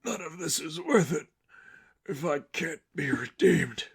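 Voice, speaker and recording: A man's voice: fairly high pitch, big pitch swings, a little slow, husky, pitched above the performer's own voice, exaggerated voice. A man voicing a fanatical male villain, battered and taunting, with a strained, sanctimonious delivery in a story reading. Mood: pained.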